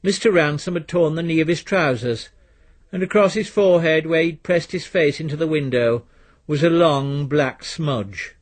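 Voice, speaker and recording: A man reading a literary story as narrator in a measured, dry storytelling manner. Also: on the high side. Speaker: narrator, reader.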